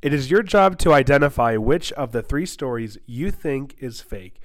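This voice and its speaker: A man's voice: mid-range voice, expressive, normal speed. A young man from the United States hosting a casual podcast, stuffy-nosed from a cold and rambling through restarts. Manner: rambling, restarts sentences often. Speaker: podcast host.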